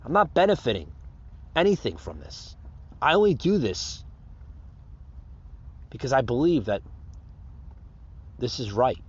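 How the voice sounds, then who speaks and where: A man's voice: mid-range voice, sing-song, measured pace, pauses often, slightly rough, highs cut off. An American man hosting a podcast in a casual, unscripted monologue, rambling and repeating himself as he addresses his listeners. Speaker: podcast host.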